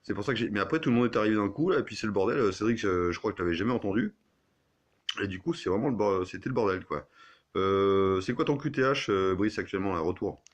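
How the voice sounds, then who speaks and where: Man, low voice, rapid pace, casual slangy radio chat, excitable. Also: lo-fi sound. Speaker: amateur radio operator livestreaming.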